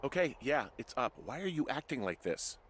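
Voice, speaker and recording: An actor playing a weary, anxious American fire lookout in a video game, hesitant and exasperated in natural dialogue. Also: male voice, medium pitch, sing-song, moderate pace, a bit bright, slight background noise. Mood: puzzled.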